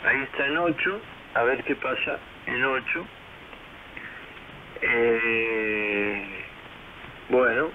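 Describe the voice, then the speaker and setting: A man, a ham radio operator thinking aloud hesitantly over a shortwave transmission. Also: mid-range voice. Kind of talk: casual radio chat while testing audio settings. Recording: narrow tinny shortwave radio, faint hiss.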